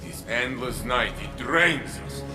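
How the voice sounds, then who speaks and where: An actor playing a proud, stern, weary city guardian in a dramatic game cutscene, speaking in a grave, deliberate, formal manner. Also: male voice, medium pitch, natural voice. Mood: indignant. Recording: roomy echo, music underneath.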